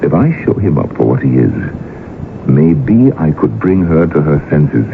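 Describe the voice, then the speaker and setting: An actor playing a proud, lovelorn, jealous portrait painter in a radio drama. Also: male voice, extremely low pitch, very animated, a little slow, creaky, narrow, tinny audio, full character voice. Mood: bitter, indignant. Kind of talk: 1980s radio drama acting. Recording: noisy band-limited old radio broadcast recording.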